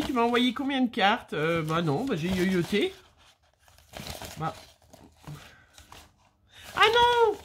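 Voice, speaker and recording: A middle-aged woman with a French accent talks casually to her viewers, wandering through her thoughts with plenty of fillers and restarts. Her voice sits slightly higher than usual and carries a light stuffiness from a cold. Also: a little hiss or hum. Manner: chatty, lots of fillers and restarts, rambling. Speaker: scrapbooking vlogger. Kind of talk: casual chat, opening mail on camera.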